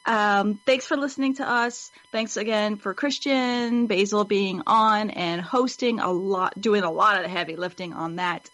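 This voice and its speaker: A woman's voice: medium pitch, unhurried, few pauses, a bit bright. A woman co-hosting a fan web show in casual chat, with a rambling, informal, upbeat manner.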